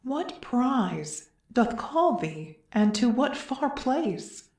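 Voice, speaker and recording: A woman's voice: fairly high pitch, very animated, very slow, darkish tone, full character voice. A woman playing a solemn, anxious chorus member in Greek verse tragedy, declaiming earnestly. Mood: grave, questioning. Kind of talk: classical verse drama reading. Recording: echoey room.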